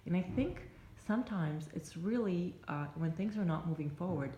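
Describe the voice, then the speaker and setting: A native Russian-speaking woman with a Russian accent, giving reflective advice to camera in a halting, thoughtful way with pauses mid-sentence. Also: fairly low pitch, animated, mellow. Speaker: life coach and vlogger. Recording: roomy echo, faint background noise.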